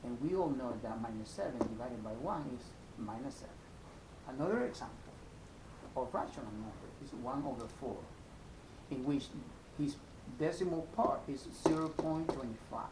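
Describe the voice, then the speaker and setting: A man's voice: animated, normal speed. Salvadoran-accented man teaching, echoey noisy room. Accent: Salvadoran Spanish. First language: Spanish. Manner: step-by-step, repeats, checks with "right?". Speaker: math teacher. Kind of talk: informal video lesson. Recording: echoey room, clear rumbling noise.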